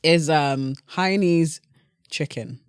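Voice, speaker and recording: A young British woman chatting casually as a podcast guest, telling things in an animated, informal way. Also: low-pitched, slow, smooth, no echo, clean studio sound. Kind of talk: casual chat.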